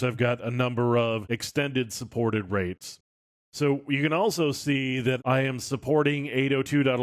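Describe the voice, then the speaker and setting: Male tutorial instructor, middle-pitched voice, informal walk-through delivery, very dry sound. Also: no echo. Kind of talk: screencast tutorial, explaining as he demonstrates.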